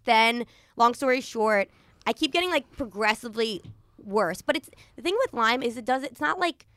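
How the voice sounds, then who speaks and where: A young American woman telling a personal story in a casual, animated, rambling way, acting out bits of dialogue. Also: fairly high pitch, moderate pace, no room sound. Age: young adult. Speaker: podcast host. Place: podcast studio.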